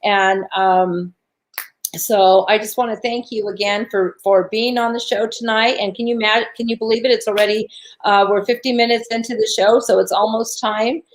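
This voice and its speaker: A woman with a raspy voice hosting a spiritual talk show, chatting casually in an encouraging, chatty way. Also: mid-range voice, fairly even intonation, normal speed. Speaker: show host.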